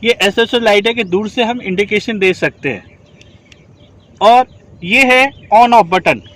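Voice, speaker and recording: A man with a strikingly high voice leads a hands-on training session for rescue volunteers. He explains step by step and keeps checking that the class has understood. The recording is made outdoors. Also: takes it slowly, fairly bright tone. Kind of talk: instructional demonstration.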